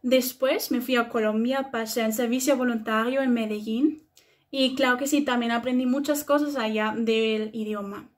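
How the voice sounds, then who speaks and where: A woman's voice: fairly high pitch, steady tone, normal speed. A 21-year-old woman speaking Spanish enthusiastically. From Germany. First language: German. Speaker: interviewee.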